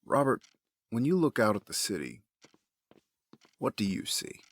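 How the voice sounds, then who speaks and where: A man's voice: lowish voice, sing-song, a little slow, dry recording, full character voice. A man playing a grieving, bitter, brooding figure in an audio drama, grave and intense in delivery. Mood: subdued.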